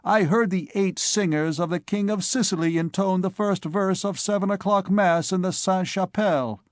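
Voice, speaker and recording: Man playing a jeering, high-pitched young student imp. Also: moderate pace, dry recording, studio quality, lightly acted. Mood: gleeful bragging. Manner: theatrical, jeering. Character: malicious merry little imp-like young male student, mocking.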